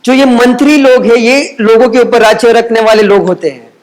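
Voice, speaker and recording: Male church interpreter, notably high voice, relaying a sermon in short consecutive phrases. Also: moderate pace, low-quality recording.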